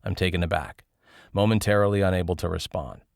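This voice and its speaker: A man's voice: deep voice, quick pace, gravelly, darkish tone, no room sound, clean studio sound. A man reading a first-person travel memoir as scripted audiobook narration.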